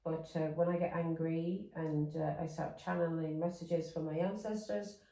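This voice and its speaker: Woman, English accent with Irish touches, lowish voice, tarot reading, rambling and repetitive, echoey room, set back from the mic. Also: fairly even intonation, normal speed, vocal fry, warm tone, distant mic. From England. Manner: rambling, repeats words, chatty asides. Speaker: tarot reader. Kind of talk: casual tarot reading monologue.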